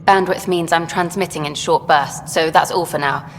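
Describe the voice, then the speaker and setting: An actress playing an eager, idealistic documentary journalist in a video game, brisk and frank. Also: female voice, deep voice. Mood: probing, pleased. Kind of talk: video game dialogue acting. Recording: long hall reverb, faint noise.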